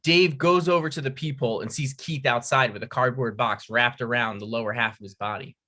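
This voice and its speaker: A man's voice: mid-range voice, fairly quick, sharp tone. A man reading screenplay stage directions as scripted narration.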